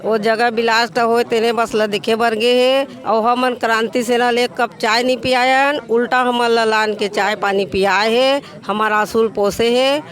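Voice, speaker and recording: A man's voice: very high voice, level tone, unhurried, few pauses, fairly bright tone. A man with a Chhattisgarhi accent giving plain colloquial testimony as an interviewee. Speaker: interviewee from a victim's family.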